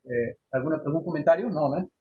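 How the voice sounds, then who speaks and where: Brief: male lecturer, high voice, hesitant with many fillers, video-call mic, faint background hum. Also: a little fast, narrow, tinny audio. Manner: mixes in Portuguese words. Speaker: university lecturer. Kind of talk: online lecture presentation. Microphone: computer microphone on a video call.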